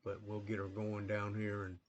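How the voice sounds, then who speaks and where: A man with a low, flat voice walks through a train-game session in casual, rambling commentary, stopping often for ums and restarts. He sounds a little sniffly, as if a cold is coming on. A faint hum of room noise sits behind him. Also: normal speed, muffled recording. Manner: rambling, relaxed, many fillers and restarts. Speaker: gaming channel host.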